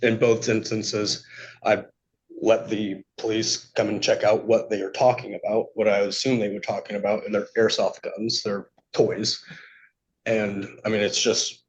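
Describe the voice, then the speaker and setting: A man giving unscripted testimony to a judge, speaking in a halting, polite way. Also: fairly low pitch, flat delivery. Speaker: petitioner testifying in court. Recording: dull, lo-fi courtroom audio.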